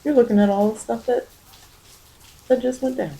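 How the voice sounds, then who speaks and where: A tarot reader speaks at a middling pitch in an improvised ASMR style. The delivery is halting and reflective, with phrases repeated and reworked as the reading unfolds. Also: fairly even intonation, gravelly, dark tone, rough recording.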